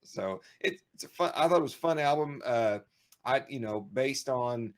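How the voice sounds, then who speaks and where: A man's voice: medium pitch, normal speed. A man co-hosting a casual show, talking in rambling bursts with lots of restarts. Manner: rambling, animated, frequent restarts and laughs. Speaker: podcast co-host. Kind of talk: casual chat.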